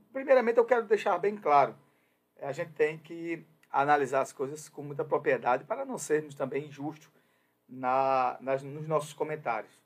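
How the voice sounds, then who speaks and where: A man's voice: a little high, breathy edge. A man from Brazil giving opinion commentary on his show, hesitant with many fillers at first, then emphatic and insistent. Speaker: commentator on a radio or web show.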